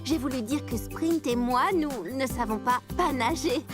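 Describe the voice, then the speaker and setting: A woman voicing a sweet, timid yet excitable deer girl in a French cartoon dub, with hesitant, expressive delivery. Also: high voice, moderate pace, close to an everyday voice. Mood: nervous, hesitant. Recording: loud music behind the voice.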